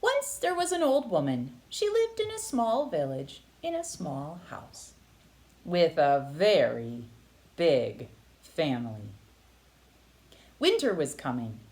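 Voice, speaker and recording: A woman narrating a children's picture book aloud with expressive, dramatic phrasing. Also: low voice, slow. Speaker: read-aloud storyteller.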